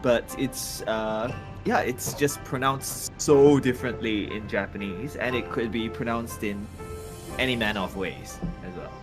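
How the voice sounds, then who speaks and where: A man's voice: mid-range voice, measured pace, low-quality recording. A man chatting casually, with a hesitant delivery that often stops and repeats words. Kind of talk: casual chat. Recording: music playing underneath.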